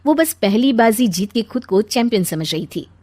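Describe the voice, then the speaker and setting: Lively female story narrator. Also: fairly high pitch, normal speed, dry recording.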